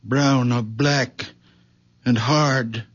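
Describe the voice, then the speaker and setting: An old farmer who believes in his uncanny sight. He speaks slowly and deliberately at a middle pitch, insistent and repetitive. It sounds like a muffled old radio drama. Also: fairly even intonation. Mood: entranced, solemn. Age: older. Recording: muffled vintage radio broadcast.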